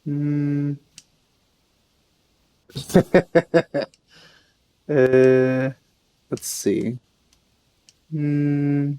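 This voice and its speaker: Slow-talking, mellow man playing a game. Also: a little high, level tone, smooth, no room sound. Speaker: let's player. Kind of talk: casual gameplay commentary.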